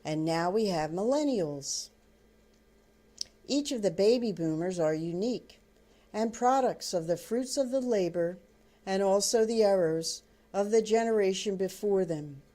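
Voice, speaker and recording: An older woman reading her own book aloud as storybook narration, in deliberate, halting phrases. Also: mid-range voice, expressive, mellow.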